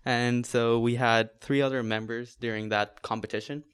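A young man from Bangladesh, a student co-founder, telling his story at a relaxed pace in an interview. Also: medium pitch, moderate pace, clear, resonant tone, no room sound. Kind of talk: interview answers.

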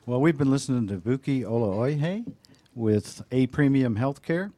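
A man's voice: mid-range voice, animated, a little slow, mellow. A man hosting a radio interview, asking friendly, encouraging questions. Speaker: radio show host. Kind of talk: interviewing a guest.

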